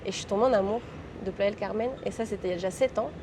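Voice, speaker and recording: A young French woman with a French accent, chatting as an interview guest and telling stories in a lively, talkative way. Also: mid-range voice, very fast, background noise. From France. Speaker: guest, real estate broker. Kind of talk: casual interview chat.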